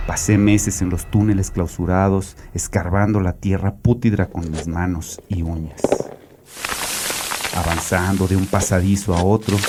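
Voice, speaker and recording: An actor playing a haunted, penitent ex-convict in a dramatic horror monologue, brooding and theatrical. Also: male voice, lowish voice, noisy background, lightly acted. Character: haunted, penitent male ex-convict confessing from a bunker.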